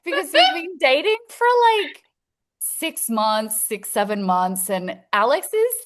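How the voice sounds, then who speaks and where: A woman's voice: on the high side, wildly swooping pitch, a little slow, a bit bright. Woman, second-language English, casual chat, open and chatty, laughs often. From Italy. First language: Italian. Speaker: featured guest educator. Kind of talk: casual chat on a video call.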